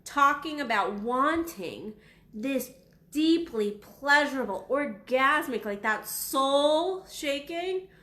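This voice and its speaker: A woman in her thirties, a sex coach, talking casually and bluntly to camera, her voice raspy from being unwell. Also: high-pitched, expressive, takes it slowly, bright, forceful tone, echoey room. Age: 30s. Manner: frank, blunt, swears freely. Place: small waiting room at her office.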